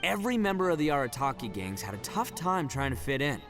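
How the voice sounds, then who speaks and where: A man's voice: mid-range voice, sing-song, fast talker. An actor playing a brash, boastful young male oni gang leader who laughs often and talks in slang, in a video game. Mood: proud. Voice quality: loud, rowdy and cheerful. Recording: game audio with music behind.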